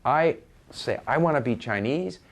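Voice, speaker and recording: A Canadian man talks informally and with firm opinions, like a seasoned learner handing out advice. His mid-pitched voice moves up and down a great deal. He stresses his points emphatically, often repeating a phrase to drive it home. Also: lively intonation, unhurried. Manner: emphatic, repeats phrases for stress, quotes imagined speakers conversationally. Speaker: experienced language learner giving advice.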